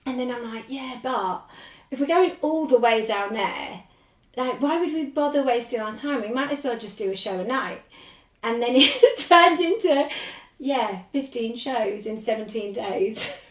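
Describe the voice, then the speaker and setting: Woman in her forties, squeaky and high, rambly podcast guest; roomy, slightly distant mic. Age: 40s. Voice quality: squeaky speaking voice. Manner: rambling, animated, laughs often. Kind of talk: casual chat.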